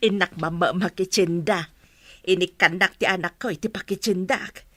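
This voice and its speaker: A woman's voice: lowish voice, measured pace, no room sound. A woman with an Ilocano accent acting an outspoken, talkative older village mother in a radio comedy. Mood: chatty, pleased.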